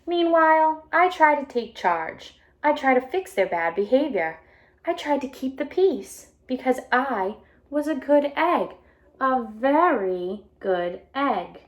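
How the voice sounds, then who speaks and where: A woman's voice: high-pitched, lively intonation, takes it slowly, pitched above the performer's own voice. Storybook good egg, female reader: eager, earnest, anxious to please, emphatic phrasing with stretched key words. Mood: worried, exasperated. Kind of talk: children's storybook read-aloud.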